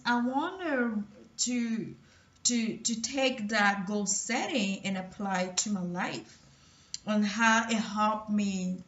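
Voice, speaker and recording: Slow, halting woman with Cameroonian French accent. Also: mid-range voice, fairly bright tone. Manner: halting, many restarts and fillers. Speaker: motivational vlogger. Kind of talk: personal motivational talk. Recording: roomy, distant, dull lo-fi sound.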